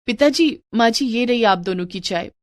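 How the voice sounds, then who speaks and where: A woman's voice: a little high, expressive, moderate pace, no echo, close to an everyday voice. A woman voicing a humble, apologetic and dutiful young daughter-in-law in a family moral story.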